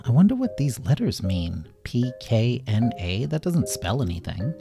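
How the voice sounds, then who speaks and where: Man playing a bossy, animated girl cat. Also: mid-range voice, vocal fry, music in the background. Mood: curious, pleased. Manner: brisk, exasperated, blunt. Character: bossy, excitable, impatient young female cat.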